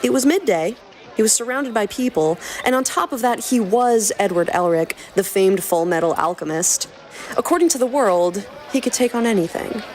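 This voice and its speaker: A woman's voice: medium pitch, animated, moderate pace, darkish tone, slight background noise. A woman podcast host reading a scripted promo and narrating a story dramatically.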